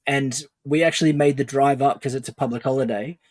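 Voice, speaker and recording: A man's voice: mid-range voice, steady tone, fairly quick, a little mellow, no room sound, clean studio sound. A middle-aged man hosting a podcast interview, upbeat and enthusiastic, laughing often. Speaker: podcast host.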